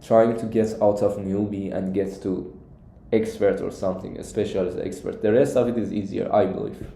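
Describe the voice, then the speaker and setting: Mellow young Iranian-accented man, echoey room. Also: lowish voice, fairly even intonation, moderate pace, vocal fry, a little hiss or hum. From Iran. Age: young adult. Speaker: competitive programming coach and live streamer. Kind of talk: casual live stream Q&A with viewers. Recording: echoey, roomy sound.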